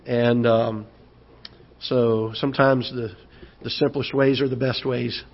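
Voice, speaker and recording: A pastor with a hoarse, recovering voice preaching a sermon in a church auditorium, halting and repeating words, then rising as he preaches. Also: medium pitch, level tone. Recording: dull lo-fi church broadcast.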